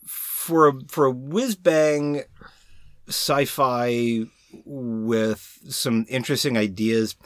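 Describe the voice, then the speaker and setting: A man co-hosting a casual film chat, speaking in a halting, mulling way with repeated false starts. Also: medium pitch, a bit bright, dry recording, clean studio sound. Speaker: podcast co-host.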